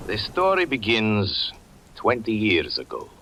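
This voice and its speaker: A brooding, deep-voiced magician. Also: male voice, huge pitch swings, noisy background, full character voice. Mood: solemn. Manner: slow, solemn storytelling. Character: brooding male magician and fortune teller narrating his past. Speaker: narrator. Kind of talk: 1940s radio acting. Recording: dull 1940s radio broadcast with music.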